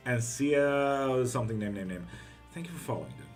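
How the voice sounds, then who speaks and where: A man hosting a live tarot stream, reading viewer comments aloud in a relaxed, swear-happy way. His pitch sits mid-range for a man. Faint music plays behind him. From Greece. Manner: relaxed and profane, with quick laughs.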